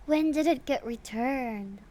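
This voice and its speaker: A woman chatting casually on a game livestream, often addressing her viewers. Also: high-pitched. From Philippines. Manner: chatty, frequently greeting viewers. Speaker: livestream host playing a story game. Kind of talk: casual livestream chat mixed with reading game dialogue aloud.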